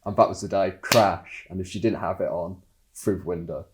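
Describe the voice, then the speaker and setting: A man's voice: deep voice, fairly even intonation, normal speed. A man casually telling a family story in a documentary interview.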